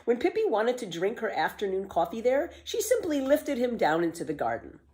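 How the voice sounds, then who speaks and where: A woman reading a children's book aloud as a storyteller, with expressive, emphatic scripted narration. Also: a little high, a little fast.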